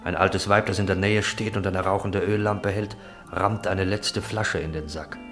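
Narrator for radio drama: man, low register, measured literary reading, music clearly behind. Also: steady tone. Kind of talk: scripted radio play narration.

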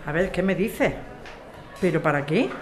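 A woman's voice: on the low side, animated, normal speed, soft background music, lightly acted. A woman with an accent from Spain acting a tired, secretive, evasive character in radio soap dialogue. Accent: Spanish.

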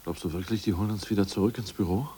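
An actor playing an ousted Politburo member who answers in an offhand, skeptical way. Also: male voice, on the low side, rapid-fire, dark tone, husky. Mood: doubtful. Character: ousted male party official, doubtful and easygoing. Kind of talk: 1980s radio play acting.

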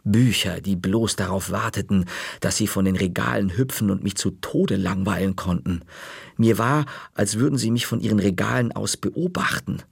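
A man narrating a children's story in a measured, dry-humored way. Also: on the low side, vocal fry, breathy edge, dry recording.

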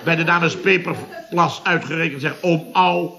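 An actor playing a grumbling, sarcastic middle-aged builder boss, blustering and exasperated, in 1970s Dutch radio comedy style. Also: male voice, fairly high pitch, a little slow, vocal fry, crowd noise. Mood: indignant.

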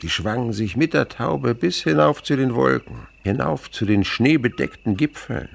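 An actor playing a kindly older naturalist in a radio play, talking in a gentle, musing storyteller's way. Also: male voice, medium pitch, animated, normal speed, gravelly. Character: kindly older French naturalist, widower, indulgent with a boy. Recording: faint background noise, night insects chirping. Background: crickets and animal sounds.

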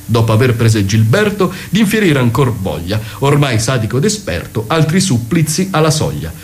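A man's voice: mid-range voice, sing-song, normal speed. A man narrating a comic radio theatre piece, declaiming in sing-song rhymed verse. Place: radio broadcast.

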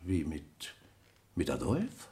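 A man's voice: extremely low pitch, wildly swooping pitch, slow, warm tone, exaggerated voice. A man playing a self-important, fussy banker giving formal testimony in a German radio play. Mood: uneasy, affronted. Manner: formal, fussy, easily affronted. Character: fussy, self-important, hurried male banker called as witness.

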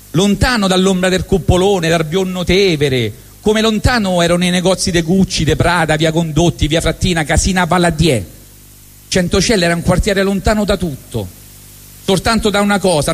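A man's voice: fairly high pitch, big-room sound. Roman man telling a comic monologue on stage. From Rome, Italy. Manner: wry, deadpan Roman storytelling with acted asides. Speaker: monologue performer. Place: stage at a live benefit event.

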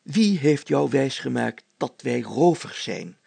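A man's voice: medium pitch, expressive, vocal fry, a little mellow, slightly rough, no room sound, pitched above the performer's own voice, heavily acted. A man voicing a pompous, fatherly and easily flustered village mayor in a storybook, stammering and drawing out his phrases. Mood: puzzled. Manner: stammering, deliberate, drawn-out phrasing.

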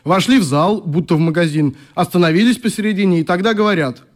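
Friendly club leader in a radio play, pretty high voice for a man, teasing storyteller. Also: fast. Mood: amused, teasing. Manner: animated, teasing, mimics people he quotes. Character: easygoing male youth club leader, fond storyteller. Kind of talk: radio drama acting, storytelling in dialogue.

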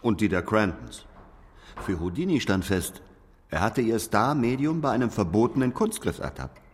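Probing, animated male investigator. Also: lowish voice, moderate pace, husky, big-room sound. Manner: pointed, accusing. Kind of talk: radio drama dialogue and narration.

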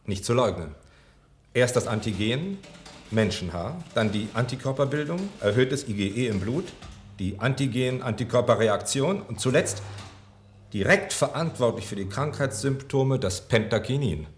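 An actor playing an exacting, methodical medical scientist in a radio drama. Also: male voice, mid-range voice, expressive, moderate pace, close to an everyday voice. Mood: grave, resolute. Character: pedantic, serious male medical scientist. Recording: radio play with faint background noise.

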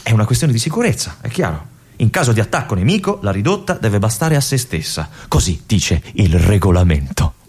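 A brooding young lieutenant, husky. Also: male voice, on the low side, sing-song, a little fast, darkish tone, full character voice. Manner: introspective, questioning himself, drops to whispers at times. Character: young army lieutenant at a remote fortress, brooding. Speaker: actor in a stage monologue. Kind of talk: theatrical radio monologue.

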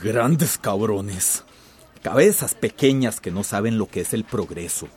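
An actor playing an imperious, scornful company boss in a radio drama. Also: male voice, fairly low pitch, lively intonation, measured pace, a little mellow, slightly rough. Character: domineering company boss, contemptuous and threatening.

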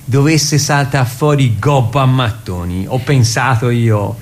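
An actor as a Tuscan man of forty with a medium-pitched voice, talking casually in a radio drama. He is a well-meaning friend, reassuring and insistent, often repeating himself. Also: a little slow, darkish tone, natural voice. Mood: coaxing. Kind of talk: radio theatre dialogue, casual and colloquial.

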